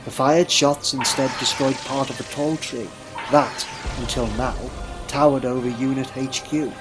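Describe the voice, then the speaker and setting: Male narrator, mid-pitched, dramatic storybook read for sci-fi audio, music underneath. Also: steady tone, a little slow, poor audio.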